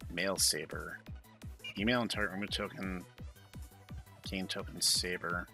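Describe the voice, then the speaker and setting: A man's voice: deep voice, steady tone, a little slow, creaky, sharp tone, soft background music. A man giving casual running commentary as he plays a video game. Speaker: host.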